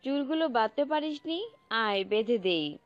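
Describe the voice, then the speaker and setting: A woman playing a fussing middle-aged mother in a romantic audio story, talking in a brisk, nagging, motherly way. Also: fairly high pitch, lively intonation, no room sound, close to an everyday voice. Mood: caring. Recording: slightly dull close recording, music bed. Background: background music.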